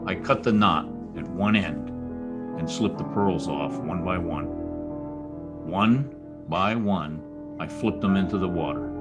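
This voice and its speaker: Radio noir gumshoe, played by a man: deep voice, world-weary, wry, clipped lines, music underneath. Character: hard-boiled private detective, world-weary, quietly decent. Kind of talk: old-time radio noir acting and narration.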